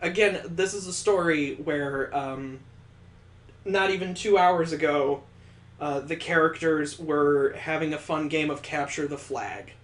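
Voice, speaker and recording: A man with a fairly high voice reading a visual novel aloud and breaking off for sarcastic, swearing asides. His voice rings in a roomy, echoey room. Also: a little slow. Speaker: let's play host. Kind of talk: let's play commentary and visual novel narration.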